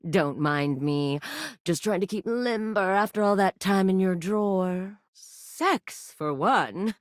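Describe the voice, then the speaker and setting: A woman's voice: mid-range voice, takes it slowly, dry recording, lightly acted. A woman voicing a flirtatious, brazen living sex toy in a video game, speaking in a sultry, teasing drawl on a close studio mic. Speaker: voice actor. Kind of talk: video game character voice lines.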